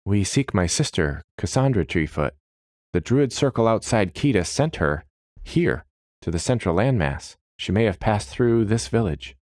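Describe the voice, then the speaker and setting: A man's voice: fairly low pitch, sing-song, measured pace, darkish tone, no room sound, clean studio sound. A man voicing a polite, earnest young treefolk adventurer in a fantasy story. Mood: earnest, formal.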